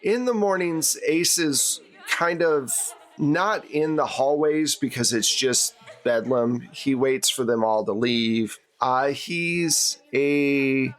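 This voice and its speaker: Man, game master, improvised scene description, conversational, explanatory, medium pitch. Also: slow, few pauses. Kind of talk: improvised tabletop game narration.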